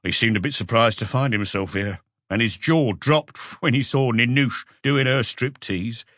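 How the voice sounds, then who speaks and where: A man's voice: medium pitch, moderate pace, fairly bright tone, dry recording, studio quality, close to an everyday voice. A man voicing a casual, matter-of-fact nightclub worker giving a witness account in an audiobook. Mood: factual.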